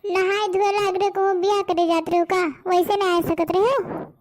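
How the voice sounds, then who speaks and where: A woman voicing a sulky, sharp-tongued young village girl in a comic cartoon, scolding impatiently in an Awadhi accent. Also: very high voice, flat delivery, moderate pace. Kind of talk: comic rustic cartoon dialogue.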